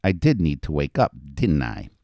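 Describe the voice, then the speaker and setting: A man voicing a gruff, playful soldier in a storybook, growling and boisterous. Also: a bass voice, huge pitch swings, normal speed, dark tone, no echo, clean studio sound, exaggerated voice.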